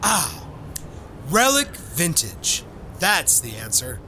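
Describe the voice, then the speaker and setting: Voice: medium pitch, huge pitch swings, slow, bright, forceful tone, slight background noise, heavily acted. An actor playing a bossy, exasperated male gangster in a radio comedy, impatient, scolding and wisecracking.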